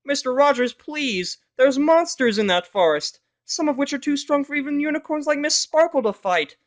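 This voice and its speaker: Man as a high, desperate pony father. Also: animated. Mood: pleading. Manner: pleading, distraught, halting. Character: proud business stallion, a desperate, pleading father. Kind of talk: fan fiction audio drama character acting.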